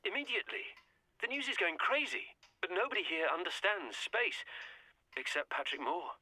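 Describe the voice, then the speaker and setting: An actor playing an anxious, urgent television colleague speaking over a telephone in a radio drama. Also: male voice, sits high, big pitch swings, normal speed, heavy background noise. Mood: anxious urgency.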